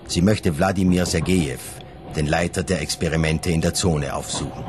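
Very deep male mystery narrator. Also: steady tone, moderate pace, noisy background. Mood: grave. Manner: factual, grave. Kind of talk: sober scripted documentary narration. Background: music.

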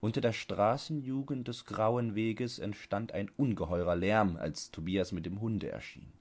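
A man's voice: on the low side, unhurried, a little mellow. A man narrating a literary audiobook as a scripted reading.

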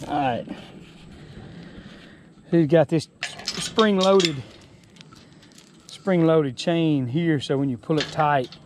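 A man's voice: on the high side, takes it slowly, pauses often, noisy background. Folksy Southern man outdoors on a farm. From Alabama. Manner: folksy, rambling, stops and restarts mid-sentence. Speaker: farm owner showing a trailer. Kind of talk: casual walkaround demonstration. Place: outdoors on a rural farm lawn. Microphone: action camera held or worn, sometimes set down.

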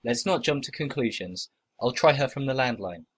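A man acting out short scripted everyday dialogues for a listening test, with plain, expressive conversational reading. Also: mid-range voice, normal speed, slight background noise.

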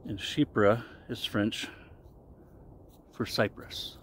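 A low-pitched man talks casually to a camera as he explains things in a vlog. His phrasing is deliberate and halting. He is recorded outdoors in a wooded clearing, with some ambient noise around him. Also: fairly even intonation, fairly bright tone. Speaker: vlogger reviewing shaving products. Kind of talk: casual explanatory talk to camera while shaving.

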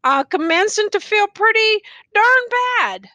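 A woman's voice: extremely high pitch, animated, measured pace, bright, forceful tone, no echo, voice pushed up, full character voice. A woman voicing a weary, ragged male soldier who talks in a rural dialect and jokes through his pain. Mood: musing. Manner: rural dialect, drawling and musing.

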